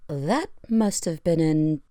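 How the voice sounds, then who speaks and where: A woman voicing a sharp-minded pony analyst, dry and probing, in conversational audio drama dialogue. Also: deep voice, expressive, slow pace, dry recording. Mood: skeptical, probing.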